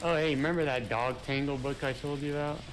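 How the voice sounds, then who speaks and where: A man's voice: medium pitch, steady tone, quick pace. A man chatting casually while playing a co-op game, rambling and hesitant with many fillers. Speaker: gamer. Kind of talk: casual gaming chat. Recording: noisy game audio behind.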